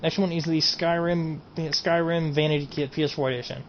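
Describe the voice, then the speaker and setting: A man's voice: on the high side, fairly even intonation, brisk. A man streaming a casual game mod showcase, rambling and repeating himself as he trails off. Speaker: gaming streamer. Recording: dull lo-fi home recording, faint noise.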